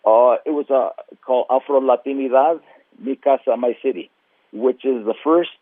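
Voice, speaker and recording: A man, a museum's marketing director, answering radio interview questions in a halting way with many fillers and restarts. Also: medium pitch, no room sound. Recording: tinny phone line.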